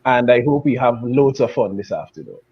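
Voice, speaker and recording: A man from Guyana, speaking in a measured way with fillers as a panelist. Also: medium pitch, expressive, muffled recording, a little hiss or hum. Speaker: panelist, teacher.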